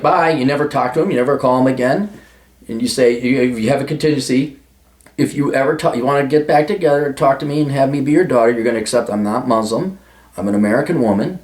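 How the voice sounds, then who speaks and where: A man's voice: mid-range voice, a little mellow. A man giving crude, emphatic advice to a listener and swearing freely. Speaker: advice vlogger answering a listener letter. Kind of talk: blunt, profane advice monologue. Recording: roomy echo.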